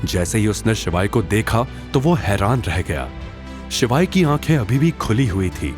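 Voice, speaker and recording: A man with a low voice narrates a dramatic audio story. His delivery rises and falls in a lively, scripted storytelling style, with music playing underneath. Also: moderate pace.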